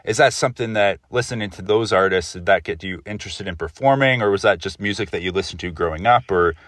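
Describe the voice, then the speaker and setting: A man's voice: sits low, quick pace, fairly bright tone, no echo, studio quality. A man hosting a casual interview, asking loose, meandering questions in a conversational way.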